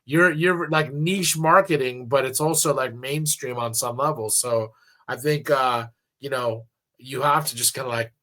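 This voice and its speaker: A male radio host who talks in long, loose runs, padding his thoughts with fillers and restarting sentences. His pitch sits in an ordinary middle range, neither high nor low. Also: measured pace, fairly bright tone. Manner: rambling, many fillers and restarts. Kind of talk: casual interview chat.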